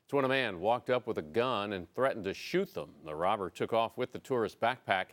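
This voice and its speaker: A man's voice: fairly low pitch, expressive, brisk, fairly bright tone. An American man reading scripted local television news as an anchor.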